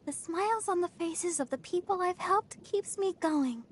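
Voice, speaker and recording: Super high sweet perky teen idol healer girl, earnest anime game voice, bit of background noise. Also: lively intonation, normal speed. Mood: earnest, hopeful. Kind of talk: anime video game voice acting.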